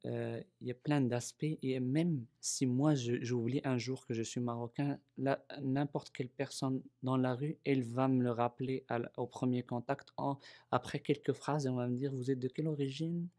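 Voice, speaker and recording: A man's voice: mid-range voice, steady tone, normal speed, warm tone. A man with a Moroccan accent in a recorded oral history interview, often hesitating and repeating words as he searches for them.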